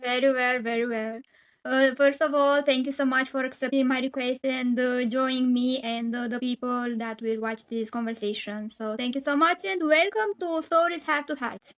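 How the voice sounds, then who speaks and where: A woman's voice: high-pitched, steady tone, moderate pace, a bit bright, smooth, lo-fi sound. A woman hosting an interview, polite and hesitant, with many fillers. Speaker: host.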